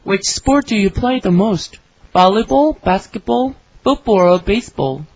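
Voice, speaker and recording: A woman's voice: mid-range voice, lively intonation, unhurried, a little mellow, no echo. Woman reading a scripted dialogue. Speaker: dialogue reader for English learners. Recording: dry, slightly dull studio recording.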